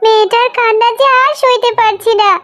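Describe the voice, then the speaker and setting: A woman's voice: very high-pitched, fairly even intonation, a little fast, fairly bright tone. A woman voicing a distraught, plaintive jackal mother in a melodramatic cartoon, fearful and pleading for her child. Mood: despairing.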